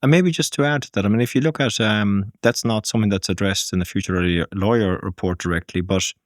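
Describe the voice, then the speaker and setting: An Irish man speaking as a business guest in a podcast interview, measured and thinking aloud with frequent ums. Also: lowish voice, dry recording, studio quality. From Ireland.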